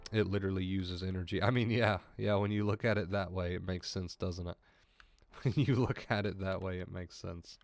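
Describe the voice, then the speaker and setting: A man's voice: sits low, steady tone, moderate pace. Stuffy-voiced man hosting a livestream over music. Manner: reassuring, repeats key phrases, thanks viewers by name. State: stuffy nose, clears throat often. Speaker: livestream host coaching on anxiety. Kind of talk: casual livestream talk reading chat. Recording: music clearly audible behind.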